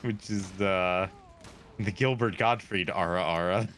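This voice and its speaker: Slow-talking man, game chatter behind. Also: sits low, slight background noise. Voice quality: soft soothing voice. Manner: laughing, rambling, self-restarting. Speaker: streamer. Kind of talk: casual gaming chat with friends.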